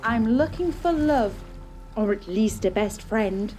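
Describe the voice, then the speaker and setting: A woman's voice: medium pitch, lively intonation, a little slow, mellow, faint music behind, voice pushed down, close to an everyday voice. A woman voicing a lonely, lovesick crab for a puppet show, speaking plaintively in rhyming verse. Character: lonely, lovesick crab living in a rock pool. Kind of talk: puppet show character acting.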